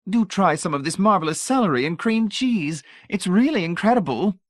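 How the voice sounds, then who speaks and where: A gushing, syrupy matron with a sweet menace under every word, as a male narrator puts her on. She sings her words high and bright and sweeps through a wide, lively range. The voice sits close and dry, with no room around it. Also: normal speed, no echo. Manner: gushing, syrupy and matter-of-fact.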